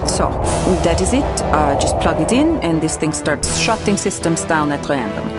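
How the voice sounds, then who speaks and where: A woman's voice: sits low, expressive, moderate pace, loud music behind the voice. An actress playing a guarded, dutiful female mercenary soldier in a video game cutscene, reporting to her superiors. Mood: dutiful, wary.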